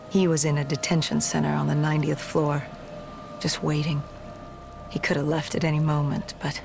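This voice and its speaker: A woman's voice: low-pitched, flat delivery, moderate pace, vocal fry, noisy background. A woman playing a defiant, battle-weary soldier in a video game, grimly and bluntly narrating a mission. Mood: bitter. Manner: blunt, bitter, grim.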